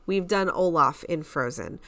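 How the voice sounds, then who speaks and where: A woman's voice: sits low, lively intonation, unhurried, pushed and bright, dry recording. A woman co-hosting a podcast, chatting casually and giving emphatic opinions in pointed statements. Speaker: podcast co-host.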